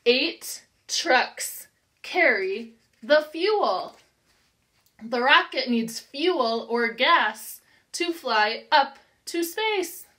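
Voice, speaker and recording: A woman's voice: fairly high pitch, pushed and bright. An American woman in her thirties, a preschool teacher reading aloud and counting for young children in a simple, encouraging, instructional way with careful enunciation.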